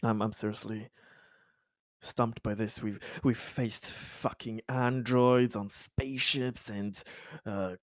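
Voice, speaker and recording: A man improvising as a player in a tabletop roleplaying game, halting and hesitant, often stammering over repeated words. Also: on the low side, fairly even intonation, no room sound, clean studio sound.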